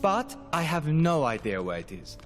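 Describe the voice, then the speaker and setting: An actor playing a deferential but dryly sarcastic, long-suffering manservant in a video game. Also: male voice, on the high side, normal speed. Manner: polite sarcasm, deadpan wording. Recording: faint music behind.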